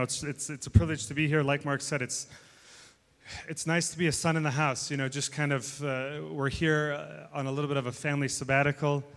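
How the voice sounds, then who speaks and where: Flat-voiced preacher with a mixed accent. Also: male voice, mid-range voice. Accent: mixed American and South African. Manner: informal, repeats words, many fillers. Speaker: guest preacher. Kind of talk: church sermon. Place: church hall. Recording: long hall reverb.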